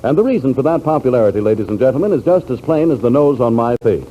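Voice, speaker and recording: A man's voice: medium pitch, animated, fast talker. An American man announcing and reading scripted advertising in a 1940s radio style. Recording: dull, faintly noisy 1940s radio recording.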